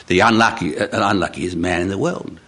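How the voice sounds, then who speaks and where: A man's voice: sits low, sharp tone, voice pushed down. A man voicing a hapless, flustered man with a heavy stutter, convinced of his bad luck, in live storytelling. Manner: heavy stuttering, halting speech.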